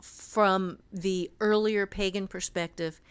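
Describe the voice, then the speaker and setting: A woman co-hosting a podcast in a casual discussion, explaining things in a measured, deliberate way. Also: mid-range voice, steady tone, smooth. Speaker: podcast co-host. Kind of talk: casual discussion of fiction writing.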